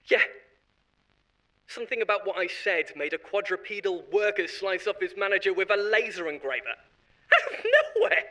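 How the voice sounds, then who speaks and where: A frantic, blame-dodging company marketer pleads and justifies himself in a horror audio drama, voiced by a man. His voice sits high and bright and swings widely in pitch. He whines defensively and laughs nervously. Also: full character voice. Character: panicked self-serving male corporate marketer, blame-shifting. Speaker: voice actor.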